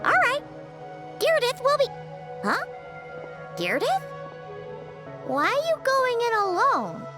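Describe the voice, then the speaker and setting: A woman voicing a tiny, excitable, chatty fairy companion with a squeaky childlike voice in a video game. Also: slow, pauses often, heavily acted. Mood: curious, chatty. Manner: refers to self in third person, expressive. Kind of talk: video game character acting. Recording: loud music behind. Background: loud game music.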